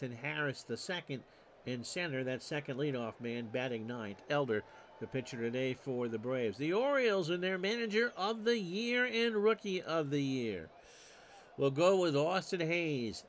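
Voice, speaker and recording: A middle-aged man hosting and calling a simulated baseball game, folksy and quick to correct and joke about his own slips. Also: fairly high pitch, a bit bright, a little hiss or hum. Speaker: host and play-by-play caller of a simulated baseball replay. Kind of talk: solo tabletop sports simulation commentary streamed live.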